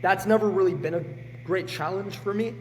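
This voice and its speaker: A man in his late teens with a hoarse, sick voice, talking bluntly and energetically as a podcast guest. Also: high-pitched, a little fast, big-room sound, a little hiss or hum. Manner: energetic and blunt, swears freely. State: hoarse, sick with a sore throat. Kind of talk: casual podcast chat.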